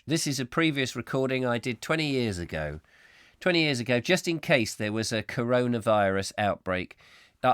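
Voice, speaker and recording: A slightly hoarse man hosting a comic live chat show, rambling whimsically and mocking himself as he reads out viewer comments. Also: medium pitch, fairly bright tone, dry recording, studio quality. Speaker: host.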